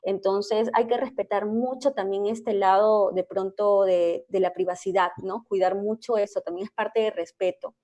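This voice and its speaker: A woman clinical psychologist, a guest explaining in an interview, measured and explanatory, with frequent hesitations. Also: medium pitch, steady tone, a little mellow, highs cut off.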